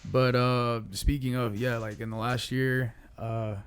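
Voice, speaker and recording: A man's voice: medium pitch, level tone, a little slow, clear, resonant tone. A man of twenty-four chatting casually as a podcast guest, with slangy, profane language. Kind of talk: casual chat.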